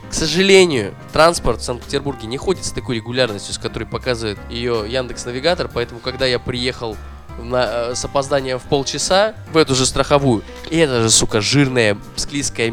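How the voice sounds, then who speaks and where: Man ranting in a casual chat. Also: medium pitch. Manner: profane, animated ranting. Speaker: podcast co-host.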